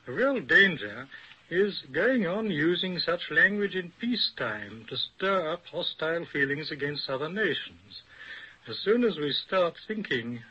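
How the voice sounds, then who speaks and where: A male professor explains his points on an old radio panel, deliberate and didactic. His voice is fairly high, very bright and cutting, with a husky edge. The recording is dull and lo-fi. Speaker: academic expert guest, professor.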